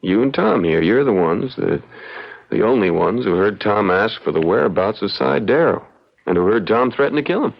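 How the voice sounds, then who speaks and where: Through a dull old radio recording comes a young frontier lawyer, folksy and shrewd. His low voice swings widely in pitch as he builds a case with deliberate, unhurried logic. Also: expressive, vocal fry. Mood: firm, measured. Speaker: actor playing a lawyer. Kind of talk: old-time radio mystery acting.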